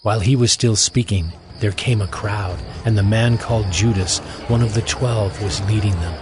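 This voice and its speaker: A man's voice: on the low side, moderate pace, music in the background. A man reading scripted narration in a dramatized scripture production.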